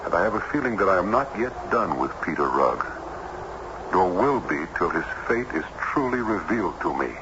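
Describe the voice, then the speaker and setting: An actor playing a formal, earnest nineteenth-century judge in a radio play, with precise, educated phrasing. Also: male voice, deep voice, sing-song, normal speed. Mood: thoughtful, measured. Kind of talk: radio drama acting. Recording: muffled, very noisy old radio recording.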